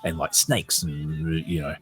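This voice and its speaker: A man with an Australian accent and a husky voice, hosting a podcast, reading aloud and commenting off the cuff in a conversational way. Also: a bass voice, flat delivery, slow pace, a bit bright, soft background music. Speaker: podcast host.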